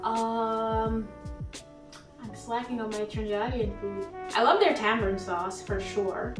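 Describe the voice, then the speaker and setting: A woman's voice: a little high, measured pace, recorded from a distance, over music. Chatty woman from Jamaica, echoey kitchen. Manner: chatty, rambling, laughs often. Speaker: vlogger being interviewed. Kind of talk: casual chat while cooking. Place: home kitchen.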